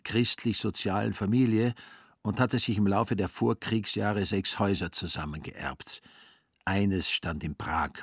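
Husky, low male storyteller. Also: no room sound, studio quality. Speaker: narrator. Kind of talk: scripted literary narration for a radio play.

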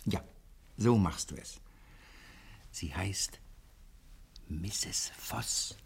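A man's voice: low-pitched, drawn-out words, gravelly, whispered, full character voice. A man playing a friendly, roguish sailor in a television drama, speaking in simple, coaxing phrases with hesitant searches for words. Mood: coaxing, playful. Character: friendly, roguish male sailor on a secret errand. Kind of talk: German television drama dialogue.

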